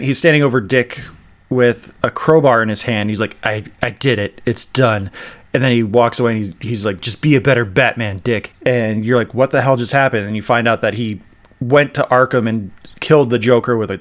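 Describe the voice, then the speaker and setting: A man hosting a casual podcast, talking in an emphatic, exasperated way as he gives his opinions. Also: mid-range voice. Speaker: podcast host.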